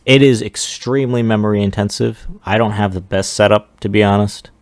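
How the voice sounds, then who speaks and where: A man with a very low voice full of vocal fry narrates a casual screen-recorded demo. He is offhand and halting, letting asides trail into a mumble. The recording is close and dry, with hardly any room sound. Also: fairly even intonation, dry recording. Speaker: 3D animation hobbyist presenting a demo.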